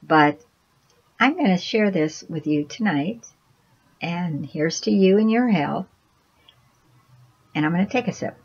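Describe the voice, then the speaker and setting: Slow, chatty woman vlogger. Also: fairly low pitch, animated, slightly rough, highs cut off. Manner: chatty, rambling, many restarts and laughs. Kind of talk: casual personal storytelling to camera.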